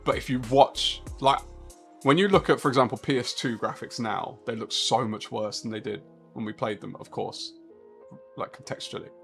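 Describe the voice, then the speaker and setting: A man's voice: mid-range voice, moderate pace, vocal fry, fairly bright tone, soft background music. A man in his thirties streaming a racing game live, chatting casually and rambling with a laugh now and then. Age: 30s. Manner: chatty and rambling, laughs often, talks to chat.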